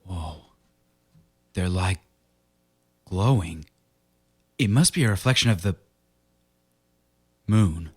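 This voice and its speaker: Male actor as uneasy husband, low-pitched voice, reassuring yet unsettled, radio horror drama, diary-keeper's plain delivery. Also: expressive, slow pace, long pauses, breathy edge, full character voice. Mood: startled.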